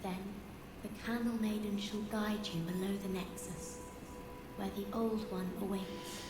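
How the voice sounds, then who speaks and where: A grave, cryptic ancient being, played by a woman delivers measured pronouncements in a video game, some of them whispered. The voice sits in a middle register. Clear game music plays behind it. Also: mid-range voice, steady tone, close to an everyday voice.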